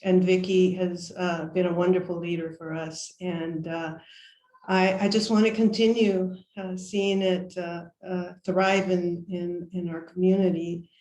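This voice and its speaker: A woman's voice: lowish voice, a little mellow. Earnest woman in an echoey room. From San Francisco. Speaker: committee member. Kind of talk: casual self-introduction.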